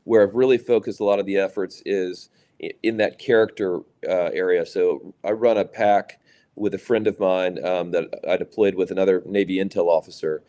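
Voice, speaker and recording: Man, low voice, mellow tone, from Louisiana, measured interview answers, frequent ums. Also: fairly even intonation. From Louisiana, USA. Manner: measured, many fillers and restarts. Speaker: private equity executive, guest.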